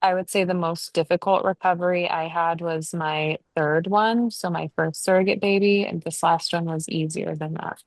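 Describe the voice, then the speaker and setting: Low-voiced woman in her forties. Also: fairly even intonation, normal speed, hardly any pauses, no room sound. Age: 40s. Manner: matter-of-fact, explains in detail. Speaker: podcast co-host. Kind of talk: casual chat.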